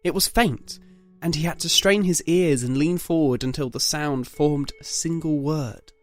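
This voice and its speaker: A man's voice: on the high side, a little slow, faint music behind. A man narrating a scripted dramatic story.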